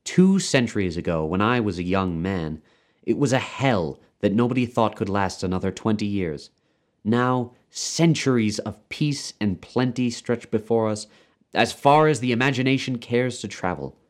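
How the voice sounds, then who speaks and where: A man's voice: on the low side, expressive, normal speed, voice pushed up, close to an everyday voice. A man voicing a very old, pompous male doctor who lectures with grand emphasis, in a story reading. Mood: rapturous. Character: pompous, preachy, very old male doctor championing population control.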